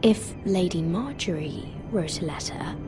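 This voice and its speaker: A woman's voice: fairly low pitch, lively intonation, measured pace, music in the background. An actress playing a dutiful, earnest young noblewoman in a fantasy game, polite, reserved and formal. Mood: worried, subdued. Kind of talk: dramatic fantasy game acting.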